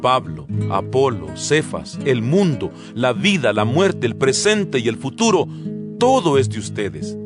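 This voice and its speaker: An actor playing the earnest, exhorting apostle Paul in a dramatized Bible reading, solemn and measured, with rhetorical delivery. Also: male voice, medium pitch, big pitch swings. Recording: music bed behind the voice.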